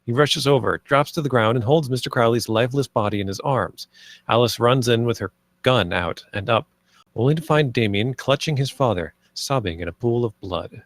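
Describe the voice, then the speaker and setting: Mid-pitched man, stage-direction narrator, scripted reading, dry recording. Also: moderate pace, no room sound. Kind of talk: scripted narration at a table read.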